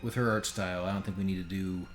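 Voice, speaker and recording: A man with a low voice chats through a live game stream in a rambling, opinionated way. The room is echoey, and game music plays faintly behind him. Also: steady tone, quick pace. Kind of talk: casual live stream commentary. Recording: roomy sound under game audio.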